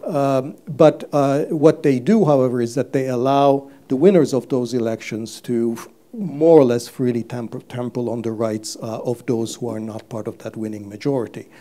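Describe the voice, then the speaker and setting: An older man from Turkey, a guest on a live stage interview, speaking haltingly with many fillers and restarts, recorded on a lapel mic. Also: medium pitch, dark tone. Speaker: guest economist. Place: stage before a live audience.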